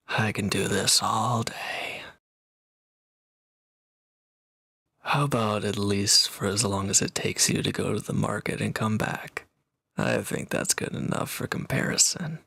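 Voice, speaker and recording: A man playing a proud, teasing reformed villain and swordsman in an intimate audio roleplay, talking cockily to one listener in a gravelly voice. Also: lowish voice, measured pace, bright, forceful tone, no echo, full character voice. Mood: smug, teasing.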